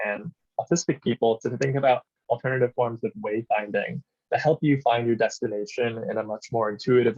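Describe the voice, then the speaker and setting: Man, architect presenter, measured explanatory delivery, mid-pitched voice, slightly dull muffled audio. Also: a little mellow. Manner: measured, explanatory, frequent um fillers. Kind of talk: conference presentation. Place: New York City.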